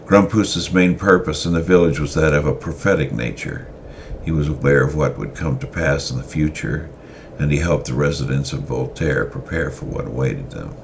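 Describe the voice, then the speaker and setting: A man reading a story aloud in an unhurried way, with frequent hesitant fillers, over faint background noise. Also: extremely low pitch, creaky, rough recording. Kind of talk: semi-dramatic story reading.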